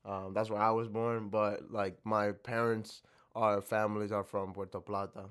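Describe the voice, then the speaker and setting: A man's voice: on the low side, little pitch movement, normal speed, fairly bright tone, smooth. A young man from the Dominican Republic answering interview questions reflectively, with many fillers and restarts. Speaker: interview guest.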